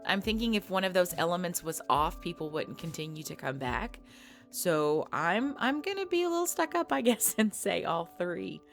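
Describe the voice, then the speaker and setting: Low-pitched woman, casual unhurried Q&A host, light music bed behind. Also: big pitch swings. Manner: soft, thoughtful, with fillers and restarts. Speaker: ASMR creator answering viewer questions. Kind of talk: casual Q&A talk. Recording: faint background music.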